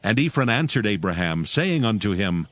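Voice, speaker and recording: A man narrating scripture from a script in a measured, formal manner. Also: fairly low pitch, big pitch swings, fairly bright tone.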